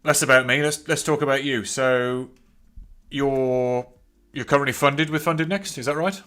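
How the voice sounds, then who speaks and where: A man's voice: medium pitch, measured pace, a bit bright. Easygoing male interview host. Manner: easygoing, encouraging questioner.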